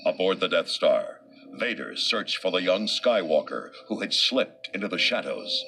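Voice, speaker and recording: Lowish-voiced male storybook narrator. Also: expressive, normal speed, sharp tone, soft background music. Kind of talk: scripted dramatic narration.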